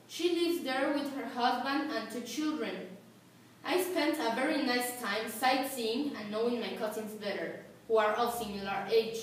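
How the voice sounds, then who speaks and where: Teen girl, Mexican Spanish accent, high voice, very echoey room, far from the mic. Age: teens. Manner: careful, deliberate, phrase by phrase. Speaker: student. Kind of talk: recited school speech. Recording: echoey room, distant mic, faint hiss.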